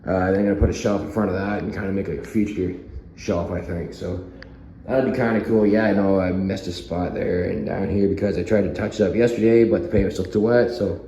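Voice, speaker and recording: A hoarse man giving a relaxed, rambling walkthrough of his half-renovated basement, chuckling as he chats to his viewers. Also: deep voice, a little fast, reverberant, slight background noise, rough recording. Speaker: vlogger. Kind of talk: casual walkthrough chat. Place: home basement under renovation.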